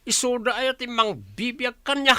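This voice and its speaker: Actor as lazy tippling village husband, Ilocano Filipino accent, whiny, argumentative, bright forceful tone, dry radio drama sound. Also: male voice, very high voice, very animated, normal speed, clean tone, dry recording, heavily acted. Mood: defiant. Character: lazy, hard-drinking husband, surly and defiant.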